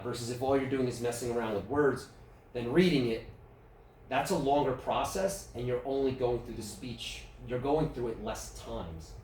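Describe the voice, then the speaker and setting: A man with a New York accent runs a speaking workshop, relaxed and conversational, often turning questions to his listeners. He sounds some way from the mic, in an echoey meeting room with faint background noise. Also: medium pitch, distant mic. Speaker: workshop presenter.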